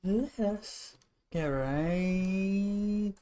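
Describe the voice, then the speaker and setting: A woman's voice: medium pitch, extremely slow, dark tone. A woman doing casual gameplay commentary as a streamer, with loose, hesitant phrasing. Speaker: game streamer.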